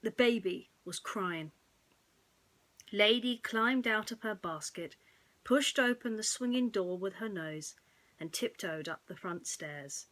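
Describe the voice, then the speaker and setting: A woman narrating a bedtime story in a soothing, hushed manner that often drops close to a whisper. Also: medium pitch, lively intonation, slow.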